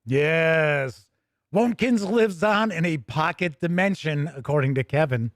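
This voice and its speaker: A man's voice: on the high side, a little slow, no room sound, studio quality. A middle-aged American man hosting a podcast in casual, rambling chat, often breaking off and restarting his thoughts. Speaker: podcast host.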